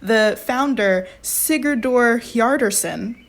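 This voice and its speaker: A woman's voice: on the high side, takes it slowly. A woman, a guest on a comedy podcast, explaining a topic in a casual, upbeat way and laughing often. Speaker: guest presenting a fact.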